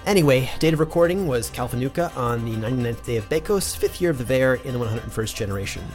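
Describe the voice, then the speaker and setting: An actor playing a chatty, thoughtful teacher recording an in-character audio journal for a fantasy drama. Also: male voice, medium pitch, animated, fast talker, darkish tone, soft background music, lightly acted. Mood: chatty, reflective. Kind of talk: conversational in-character monologue and credits.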